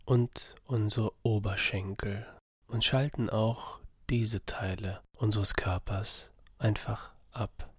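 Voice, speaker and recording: A man softly narrating a guided body-scan meditation for sleep, soothing and half-whispered, with a breathy voice. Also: fairly low pitch, no room sound, studio quality.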